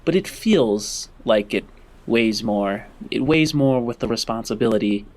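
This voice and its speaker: Slow, deliberate male game master. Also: mid-range voice, expressive. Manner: dramatic, deliberate phrasing with pauses. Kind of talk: narrating a tabletop roleplaying game.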